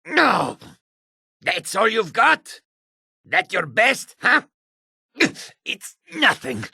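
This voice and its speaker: A man voicing a wary, combative male cat-folk character from a fantasy video game, with a gravelly, raspy voice and exotic, formal phrasing. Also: sits high, very animated, drawn-out words, sharp tone, no echo, clean studio sound, full character voice. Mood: combative, taunting.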